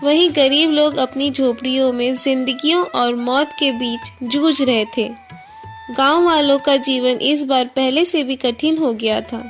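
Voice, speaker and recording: A woman's voice: high-pitched, measured pace, faint music behind. A woman reading scripted narration for a moral story as the storyteller.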